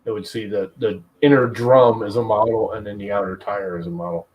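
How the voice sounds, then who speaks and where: Low-voiced man chatting casually on stream. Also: steady tone, a little fast, muffled recording. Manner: rambling, swears casually, tells stories with mimicry. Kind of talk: casual chat while 3D printing.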